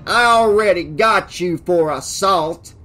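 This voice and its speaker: Casting: male narrator as domineering small-town sheriff, taunting, higher-pitched and forceful delivery, over music. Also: slow pace, exaggerated voice. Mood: raging. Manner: taunting, loud, bullying.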